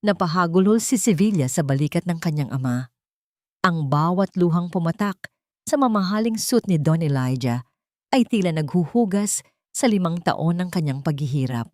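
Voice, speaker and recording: A woman's voice: lowish voice, expressive, normal speed, a little mellow, no echo, studio quality. A woman storyteller delivering scripted, dramatic narration of an audio story.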